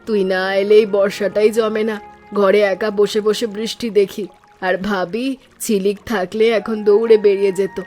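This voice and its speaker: A woman playing an affectionate middle-aged village aunt in an animated story, speaking tenderly with homely, fond scolding. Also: medium pitch, a little slow, faint music behind, natural voice.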